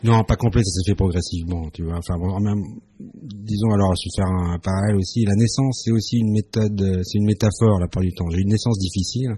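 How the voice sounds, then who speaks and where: Fifties, French-born male guest, very low husky voice, hesitant and reflective. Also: steady tone, fast talker, warm tone, no echo. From France. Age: 50s. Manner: hesitant, many fillers, reflective. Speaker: radio guest. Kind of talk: live radio interview.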